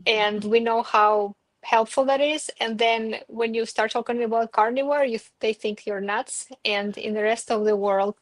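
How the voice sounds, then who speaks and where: Halting woman, higher voice. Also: fairly even intonation, dry recording, poor audio. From Eastern Europe. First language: Belarusian. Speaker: guest on a livestream. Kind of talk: hesitant personal conversation.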